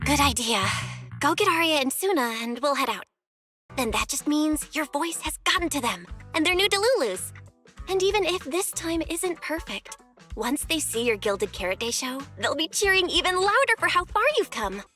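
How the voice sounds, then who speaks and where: A woman's voice: high-pitched, moderate pace, exaggerated voice. A woman voicing a friendly, earnest young female video store manager in an anime-style game, speaking expressively and conversationally. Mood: caring, encouraging. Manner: expressive, animated, conversational delivery. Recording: background music under the voice.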